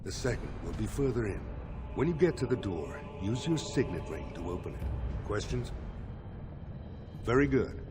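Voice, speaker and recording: Authoritative dwarven lord, lowish voice. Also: male voice, big pitch swings, over music. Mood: measured briefing. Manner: formal, measured, authoritative. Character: authoritative older dwarven lord, shrewd military planner. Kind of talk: video game voice acting. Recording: noisy rumbling ambience behind.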